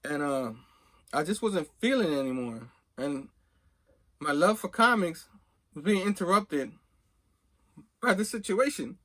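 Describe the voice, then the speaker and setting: A man's voice: a little high, lively intonation, unhurried, pauses often, bright, forceful tone. A middle-aged man telling a personal story casually, rambling conversationally and acting out bits of remembered dialogue. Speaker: host of a comics collecting video channel.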